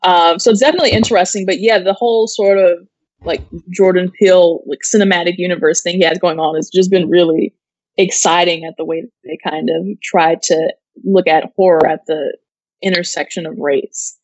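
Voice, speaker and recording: A woman's voice: on the low side, steady tone, brisk, a little mellow, dry recording, dull audio. A woman gives casual, loosely phrased opinions as co-host of an entertainment news show. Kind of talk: casual chat giving reactions and opinions.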